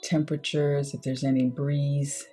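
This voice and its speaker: Low-pitched woman guiding a slow meditation. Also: flat delivery, mellow, husky, faint music behind. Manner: unhurried, measured short phrases with pauses. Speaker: meditation guide. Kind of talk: guided meditation, slow soothing instruction.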